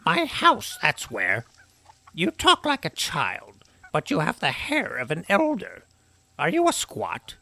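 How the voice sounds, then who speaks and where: A woman's voice: low voice, huge pitch swings, takes it slowly, creaky, sharp tone, no room sound, exaggerated voice. A woman playing a cranky, suggestive old village woman in a fantasy audio drama, with a cackly, accusing delivery. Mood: indignant, suspicious. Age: older. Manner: accusing, teasing, brusque.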